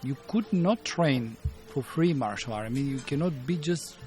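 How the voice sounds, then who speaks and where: A man in his fifties from Bulgaria, speaking English with a Bulgarian accent as a martial arts teacher being interviewed, pausing often to search for words. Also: medium pitch, lively intonation, mellow, faint music behind. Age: 50s. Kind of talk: interview storytelling.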